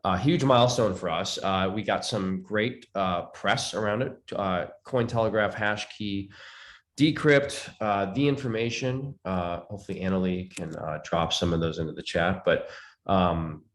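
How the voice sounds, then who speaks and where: A man presenting a company update with a hoarse, raspy voice from a cold, halting with many fillers. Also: low voice, a bit bright.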